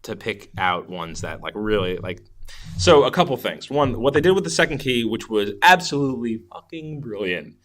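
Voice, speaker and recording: A man's voice: medium pitch, lively intonation, moderate pace, a bit bright. A man hosting a podcast, chatting casually about film in an enthusiastic, rambling way with some swearing. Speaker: podcast host. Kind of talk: casual film review chat.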